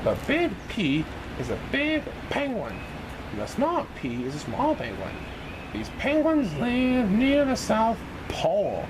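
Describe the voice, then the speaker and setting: A man's voice: sits high, wildly swooping pitch, takes it slowly, background noise. A man reading a children's storybook aloud in an exaggerated sing-song way for young listeners.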